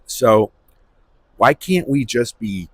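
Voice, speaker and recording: A man with a husky voice giving a rambling, unscripted spiritual monologue, emphatically repeating phrases for stress as he hosts. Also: mid-range voice, expressive, takes it slowly, no echo. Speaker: host of a spiritual series.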